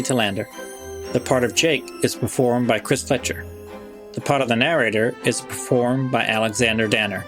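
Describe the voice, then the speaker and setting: A man's voice: medium pitch, vocal fry. A man hosting a podcast, reading scripted narration and credits. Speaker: podcast host. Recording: music behind the voice.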